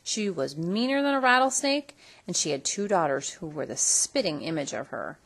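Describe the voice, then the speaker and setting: A woman reading a children's story aloud in an expressive storytelling style. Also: lowish voice, normal speed, gravelly, husky. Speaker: storybook reader.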